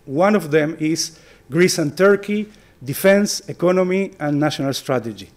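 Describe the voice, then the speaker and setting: A man hosting an event, formally introducing a guest speaker in a halting, hesitant way. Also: a little high.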